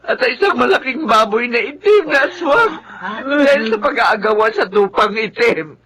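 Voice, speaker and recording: A woman's voice: a little high, animated, normal speed, crowd noise, close to an everyday voice. An actress playing a grief-stricken village widow, crying as she speaks in a melodramatic radio drama. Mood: grieving.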